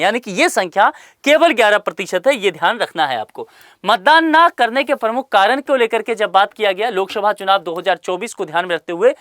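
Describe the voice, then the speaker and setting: A woman's voice: medium pitch, fairly bright tone, dry recording, clean studio sound. A woman explaining a survey report in a current affairs lesson, speaking to viewers with rhetorical questions and everyday examples.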